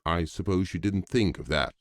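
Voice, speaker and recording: A man playing a firm gentleman in an audiobook, admonishing with an air of friendly superiority and old-fashioned clubman phrasing. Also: low voice, moderate pace, no room sound, natural voice. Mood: stern.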